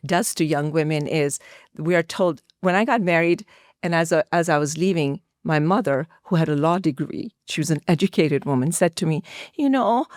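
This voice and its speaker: A woman's voice: fairly low pitch, a little mellow, no echo, clean studio sound. A middle-aged woman from India with an Indian accent, answering conversationally as an interview guest and telling personal stories. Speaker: podcast guest, actress and filmmaker.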